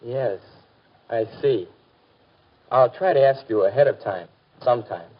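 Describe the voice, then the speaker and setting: A man's voice: mid-range voice, measured pace, lightly acted. An American man acting as an earnest, polite, mild-mannered teenage son in a 1950s educational film. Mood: friendly. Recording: dull, muffled 1950s film soundtrack.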